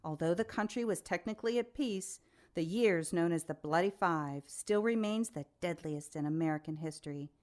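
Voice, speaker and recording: A composed female reporter played by an actress fronts a news special in a radio play. Her delivery is formal and measured, with a probing, scripted interviewer's manner. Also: on the low side, darkish tone, lightly acted. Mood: formal, grave.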